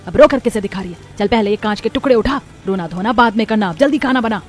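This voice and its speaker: A woman's voice: medium pitch, quick pace, lightly acted. A woman voicing a cruel, sarcastic older mother-in-law who scolds, in a cartoon family drama. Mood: angry scolding. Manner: harsh, nagging, sarcastic. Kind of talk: cartoon story voice acting. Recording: background music under voice.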